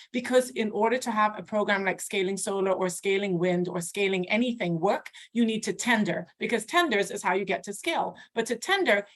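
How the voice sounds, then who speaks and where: A woman speaking as a development finance panelist, talking fluently and assertively with quick asides. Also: medium pitch, a bit bright. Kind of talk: panel discussion.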